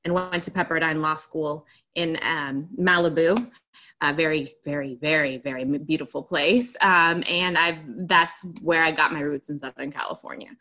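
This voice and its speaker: A woman's voice: deep voice, fairly even intonation, moderate pace, bright, forceful tone. A woman from Greece, a podcast guest, answering interview questions with enthusiasm in long run-on turns. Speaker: podcast guest, attorney and author.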